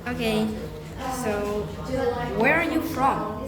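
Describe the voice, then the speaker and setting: A woman plays examiner in a mock speaking exam, moving things along with short "okay, so" prompts. Her voice sits a little high. The room is echoey, with a loud crowd in the background. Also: slow pace. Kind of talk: asking exam questions.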